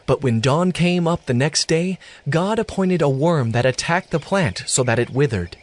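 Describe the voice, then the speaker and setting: Brief: male narrator, slightly high, very lively intonation, scripted scripture reading. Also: normal speed.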